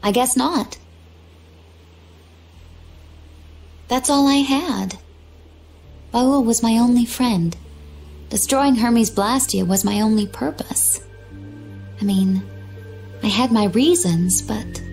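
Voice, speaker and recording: A serene young game warrior, playful and quietly wistful. Her voice sits a little high, with soft music behind. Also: vocal fry. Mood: calm, wistful. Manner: calm, coy, unhurried. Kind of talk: video game cutscene acting.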